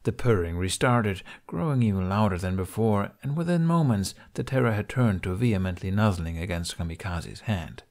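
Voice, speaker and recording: A man's voice: fairly low pitch, animated, moderate pace, hardly any pauses, a little mellow. A man reading a scripted story aloud as an audiobook narrator.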